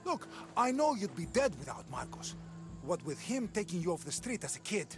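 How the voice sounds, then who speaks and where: An actor playing a defiant, flustered merchant in a video game. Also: male voice, sits high, very animated, normal speed, music in the background. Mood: defensive pleading. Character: defiant, flustered male merchant pleading over a debt. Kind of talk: dramatic video game acting.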